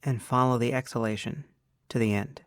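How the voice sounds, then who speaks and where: A man leading a guided meditation as a teacher, speaking in an unhurried, measured way with long pauses. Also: mid-range voice, steady tone, no room sound, studio quality.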